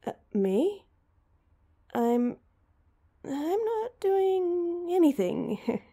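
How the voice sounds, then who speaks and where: A woman's voice: extremely high pitch, sing-song, drawn-out words, warm tone, full character voice. A woman playing an awkward, heartbroken young woman in an intimate roleplay audio drama, speaking hesitantly and with self-deprecation. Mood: flustered, awkward.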